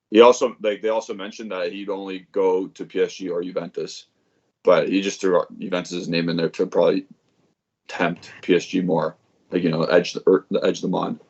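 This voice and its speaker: A man's voice: low voice, flat delivery, normal speed, a little mellow, dull audio. A man co-hosting a casual sports podcast, laid-back and chatty, saying "like" often. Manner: laid-back, says "like" a lot. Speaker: podcast co-host.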